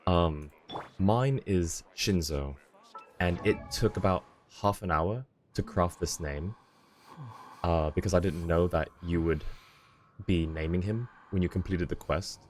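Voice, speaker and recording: A man's voice: sits low, unhurried, a little hiss or hum. A man live-streaming a video game, chatting casually with viewers as he plays. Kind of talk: casual chat while playing a game for viewers.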